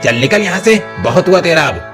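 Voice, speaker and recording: A man's voice: sits high, animated, a little fast, over music. A man voicing a harsh, greedy, bullying landlord in a moral-story cartoon. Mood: angry, scornful. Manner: scornful, bullying.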